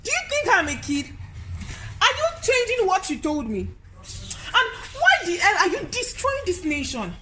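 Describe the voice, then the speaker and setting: An actress playing a righteous, outraged motorist with a Nigerian accent, indignant and declamatory in a radio drama. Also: female voice, extremely high pitch, wildly swooping pitch, unhurried, background noise, full character voice.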